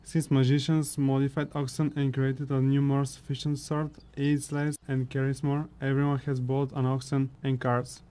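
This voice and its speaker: A man's voice: mid-range voice, little pitch movement, normal speed, few pauses, mellow, clear, resonant tone, close to an everyday voice. A man playing a brooding, sardonic chimera wanderer in a fantasy audio drama, grumbling his way through monologues. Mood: grumbling, fed up.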